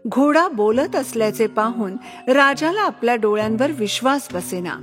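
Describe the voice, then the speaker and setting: A woman's voice: fairly high pitch, animated, moderate pace, soft background music. A woman narrator reading a scripted fairy tale for children.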